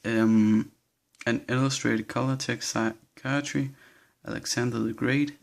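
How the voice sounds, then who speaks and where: A young man speaks English with a Danish accent, working through his book collection in a halting, unsure way. His voice stays low in energy and flat in tone, with a gravelly fry underneath. He sounds slightly shaky and nervous throughout. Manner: hesitant, halting listing with nervous laughs. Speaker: vlogger. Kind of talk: casual bookshelf tour.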